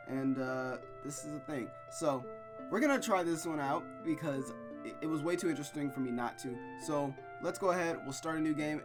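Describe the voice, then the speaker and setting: A man hosting a visual novel playthrough, chatting casually with animated, wry reactions to what he reads. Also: mid-range voice. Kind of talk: casual chatty let's play commentary, reading game text aloud. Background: game music, looping rain.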